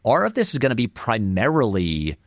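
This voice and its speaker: Animated man talking movies, informal. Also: mid-range voice, normal speed, no room sound, studio quality. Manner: informal, discursive, emphatic. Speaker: interview guest, film critic and video essayist. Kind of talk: conversational interview commentary.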